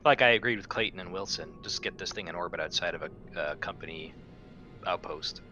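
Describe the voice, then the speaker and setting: A man's voice: low voice, brisk, bright, forceful tone, lo-fi sound. A man playing in a tabletop roleplaying game, talking casually with some swearing. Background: game soundtrack music.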